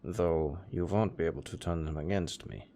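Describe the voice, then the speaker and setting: A man's voice: low-pitched, moderate pace, mellow, a little hiss or hum. A man playing a pragmatic, chatty necromancer who talks casually and matter-of-factly about grisly work in an audio roleplay. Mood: businesslike, upbeat. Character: chatty, pragmatic male necromancer, former tailor. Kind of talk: intimate audio roleplay acting.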